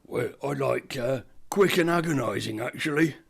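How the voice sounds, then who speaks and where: A man's voice: mid-range voice, moderate pace, vocal fry, breathy edge. A man voicing a greedy, scheming robber with an East London accent, talking bluntly.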